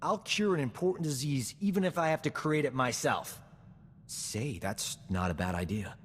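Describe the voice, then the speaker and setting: An actor playing a vain, flirtatious, smooth-talking doctor in a video game. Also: male voice, medium pitch, expressive, moderate pace, fairly bright tone, big-room sound. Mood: scheming. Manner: cocky, self-admiring, smooth-talking. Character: vain, flirtatious male doctor, boastful charming rogue. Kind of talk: video game cutscene acting.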